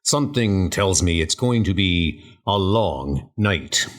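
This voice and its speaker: A man's voice: low-pitched, lively intonation, takes it slowly. A man playing a grim, commanding masked crime fighter in a comic book audio drama, terse and matter-of-fact. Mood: grim, businesslike.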